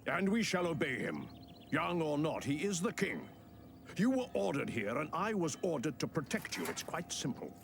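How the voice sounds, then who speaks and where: A dutiful, commanding knight guardian with a gravelly, animated voice over a game score. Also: male voice, fairly high pitch, moderate pace, noisy background. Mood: firm. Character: stern loyal male knight protector, Godric, dutiful. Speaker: game character. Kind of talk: game cutscene voice acting. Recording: game audio with music behind.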